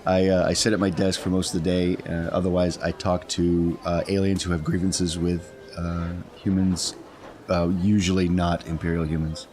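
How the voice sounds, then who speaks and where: Male player, low-pitched, dry and self-deprecating, informal table talk, faint background noise. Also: level tone, moderate pace, darkish tone. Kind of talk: casual chat during a role-playing game.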